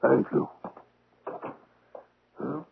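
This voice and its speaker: An actor playing a humble, deferential peasant shepherd who speaks haltingly in a radio drama. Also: male voice. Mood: halting, confessional. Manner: hesitant, humbly respectful, explains haltingly. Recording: dull 1970s radio drama recording.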